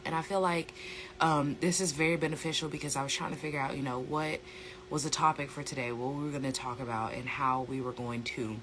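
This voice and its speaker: Bright, slightly hoarse American lifestyle coach. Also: mid-range voice, slight background noise. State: slightly hoarse from a sore throat. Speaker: host, plant-based lifestyle coach. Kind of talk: casual educational vlog talk.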